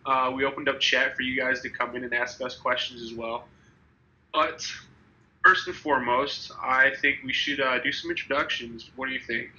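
A man's voice: medium pitch, steady tone, moderate pace, bright, forceful tone, dull audio. A young man in his twenties with a slightly congested, nasal voice from a cold, hosting a casual podcast chat and rambling with many restarts. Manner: rambling, restarts, laughs easily. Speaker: podcast host.

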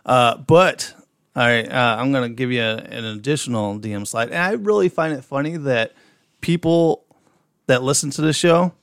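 A man with an Upper Midwest American accent chats as a podcast co-host, telling stories and reading out listener messages. His voice sits at a middle pitch. The sound is dry and close. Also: moderate pace, fairly bright tone, clear, resonant tone, no echo. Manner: storytelling, reads out messages, profane. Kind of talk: casual podcast chat.

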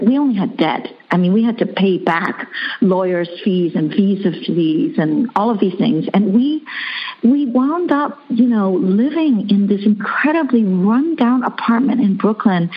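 A woman's voice: medium pitch, breathy edge. A middle-aged woman from Hong Kong, an author speaking thoughtfully as a guest, with many "you know" fillers. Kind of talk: conversational interview. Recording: dull lo-fi audio.

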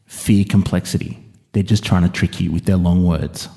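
A man playing a mock-serious border interrogator, interviewing a guest in a deadpan, faux-stern way. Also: sits low, moderate pace, vocal fry. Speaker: comedy interviewer.

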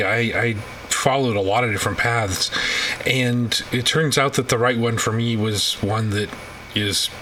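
A man with a fairly low, very bright and forward voice hosts a casual interview. He rambles and hesitates as he feels out his sentences. He sounds a little way from the microphone, with faint noise behind him. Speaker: podcast host. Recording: distant mic, faint background noise.

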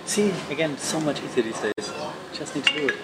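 A man hosting a casual chat who talks quickly, stammers and repeats words. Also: a little high, lively intonation, over loud music. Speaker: host. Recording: noisy background ambience.

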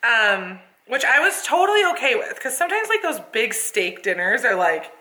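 A woman's voice: on the high side, very animated, normal speed, bright, forceful tone. A woman in her thirties chatting casually and upbeat as a podcast co-host. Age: 30s. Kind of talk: casual chat.

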